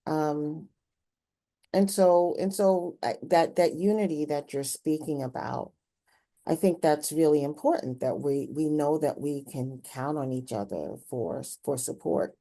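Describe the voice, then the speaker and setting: A woman with a low, mellow voice acts as interviewer in a community conversation. She talks reflectively, explaining as she goes and checking that she is being followed. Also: unhurried.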